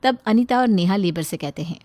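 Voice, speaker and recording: A woman's voice: mid-range voice, expressive, a little fast, darkish tone, no room sound. Woman narrator, dry recording. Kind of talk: scripted story narration.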